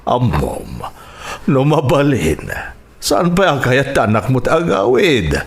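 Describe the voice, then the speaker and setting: A Filipino man playing a gentle, forgiving middle-aged landowner and father in a radio drama, with slow, emotive delivery. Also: medium pitch, very animated, vocal fry, slight background noise, heavily acted. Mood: affectionate.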